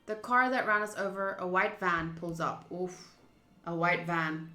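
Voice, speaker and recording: Woman, visual novel streamer, dramatic reading with casual asides, bright forceful voice, echoey room. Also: fairly low pitch, a little slow. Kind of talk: dramatic read-aloud of game text with casual asides. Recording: roomy, echoing sound.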